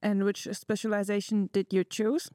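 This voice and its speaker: A woman hosting a podcast, reading a brisk intro and asking her guests clear questions. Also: mid-range voice, level tone, dark tone, dry recording, clean studio sound. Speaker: podcast host.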